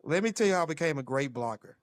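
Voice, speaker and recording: A man in his fifties, a former football player, telling old team stories in a lively, laughing way. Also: a little high, rapid-fire, no room sound, studio quality. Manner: animated storyteller with laughs. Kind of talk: casual reminiscing conversation.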